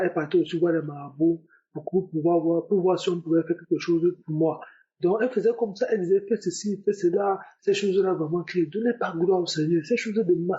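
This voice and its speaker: A young man with a high, soft-toned voice tells his personal testimony, repeating words for emphasis as he goes. The sound is a bit dull and lo-fi. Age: young adult. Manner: repetitive, emphatic storytelling. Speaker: testimony giver. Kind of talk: spoken religious testimony.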